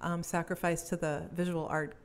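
A woman's voice: lowish voice, level tone, normal speed, clear, resonant tone. A young woman from Belgium, a cellist, chatting casually in an interview and laughing easily. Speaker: cellist and musician guest.

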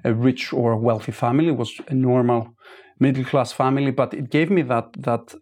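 A man in his forties speaks as a startup founder being interviewed. His answers come measured and reflective, and he often circles back to restart a phrase. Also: medium pitch, fairly even intonation, darkish tone. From Greece. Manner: measured, thoughtful, with frequent restarts.

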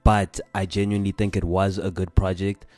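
A man's voice: low-pitched, flat delivery, fairly quick, darkish tone, no room sound, faint music behind. A man in his twenties with a South African accent, co-hosting a casual chat in slangy language. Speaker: podcast co-host.

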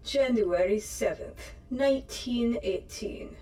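A calm, factual computer intelligence voiced by a woman in an audio drama. She speaks slowly and precisely in a slightly high voice. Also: rough recording. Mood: matter-of-fact. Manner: measured, factual.

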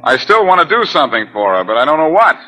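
An actor playing a cool, quick-witted detective in a 1940s radio drama, clipped, wisecracking and urgent. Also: male voice, fairly high pitch, very animated, sharp tone, heavily acted, rough recording. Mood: grim. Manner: clipped, wisecracking, hard-boiled.

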